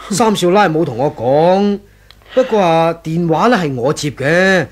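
Timid servile manservant, highish voice. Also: male voice, lively intonation, a little slow. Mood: servile, nervous. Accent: Hong Kong Cantonese. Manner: hesitant, obsequious, chuckling. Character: timid, servile, gossipy male household servant. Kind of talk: 1980s radio drama acting.

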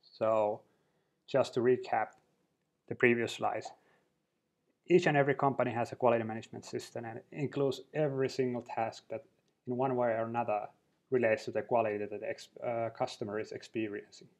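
A man's voice: mid-range voice, fairly even intonation, a little fast, a little mellow. A man giving a training lecture over slides, talking loosely with frequent restarts and fillers.

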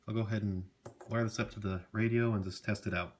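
A man's voice: on the low side, fairly even intonation, fast talker, a little hiss or hum. A man giving a casual, matter-of-fact demonstration at his workbench, speaking informally and off the cuff. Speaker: hobbyist presenter. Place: indoor electronics workbench.

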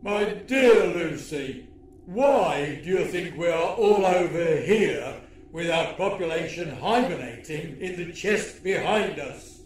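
A man's voice: fairly high pitch, expressive, slow, reverberant, distant mic, voice pushed up, rough recording. A man voicing a pompous, menacing alien commander with an imperious, snarling delivery in a children's storybook reading. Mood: smug.

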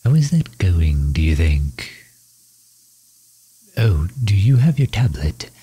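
A man's voice: deep voice, very animated, slow, warm tone, slightly rough, full character voice. A man playing a refined, courteous villain and inventor in an intimate audio roleplay, speaking formally and solicitously, as if musing aloud. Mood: gently concerned. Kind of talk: intimate audio roleplay, ASMR-style acting.

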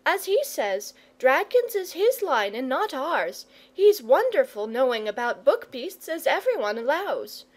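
A woman voicing a sensible, kindly rustic mother with a homely, gently fussing way of talking in a storybook. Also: sits high, big pitch swings, a little slow, few pauses, pitched above the performer's own voice. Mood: kindly concern.

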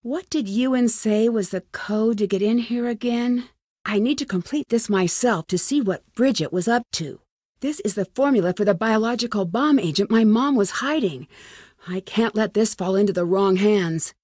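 A woman playing an inquisitive young amateur sleuth in a game, thinking aloud in an earnest, clear way. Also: medium pitch, moderate pace, darkish tone, no echo, clean studio sound, close to an everyday voice. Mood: thoughtful. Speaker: lead character in a detective adventure game.

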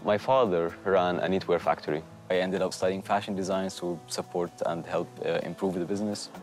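A man in his thirties from Syria, a charity founder, calmly telling his story in a garden interview. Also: deep voice, fairly quick, soft background music. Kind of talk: documentary interview. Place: outdoor garden.

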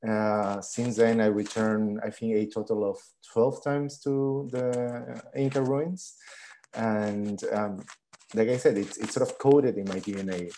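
Man, 30s, from Peru, lecturer; low warm voice, hesitant restarts, video call headset. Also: fairly even intonation, a little slow, slight background noise, low-quality recording. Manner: hesitant, many fillers and restarts. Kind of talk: online lecture. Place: living room at home.

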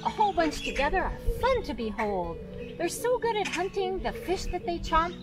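Lively older woman reading a storybook aloud. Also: extremely high pitch, normal speed, over music. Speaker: zoo guide and author reading aloud. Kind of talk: reading a rhyming picture book aloud. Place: outdoors by a pond in a zoo.

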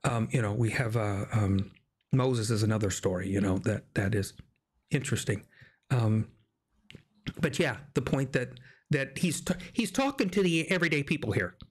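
A middle-aged man with an American accent talks through faith in a casual discussion. His voice sits at an ordinary pitch, and he circles back over his phrases, leaning hard on key words. He speaks into a close desk mic in a small home studio. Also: normal speed, slightly rough. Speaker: discussion host. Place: small indoor home studio, video call. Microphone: desk microphone up close.

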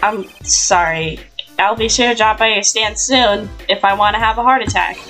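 A woman's voice: medium pitch, normal speed, pushed and bright, faint music behind. A woman voicing a wry young male lawyer in a game fan dub, dry and exasperated.

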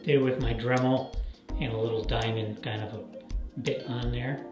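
A man's voice: mid-range voice, fairly even intonation, normal speed, muffled recording. This is a man in his fifties walking viewers through his boat restoration work. He talks plainly and casually, with a few fillers along the way. He is recorded in an indoor workshop on a camera mic, with faint music in the background. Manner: plain, matter-of-fact explaining with fillers.

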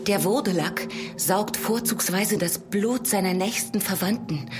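An actress playing a fearful, devout young village woman, speaking in a hushed, earnest, dramatic way in a horror radio drama. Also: medium pitch, measured pace, lightly acted. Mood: grave, earnest. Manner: hushed, dramatic, earnest, often near whispering. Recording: prominent music behind the voice.

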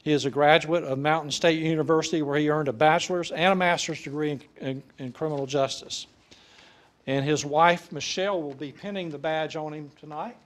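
An older man presides over a formal ceremony in a large council chamber. His voice sits slightly high for a man, and he speaks into a stand microphone in a folksy, official way. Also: fairly even intonation, brisk. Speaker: official presenting a promotion and administering an oath. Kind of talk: public ceremony address. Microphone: stand microphone, sometimes stepping away.

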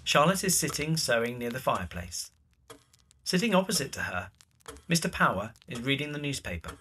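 A man narrates an audio drama's scenes from a script. His bright voice sits at a middling pitch and swoops expressively through each stage direction. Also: very animated, a little fast, a little hiss or hum.

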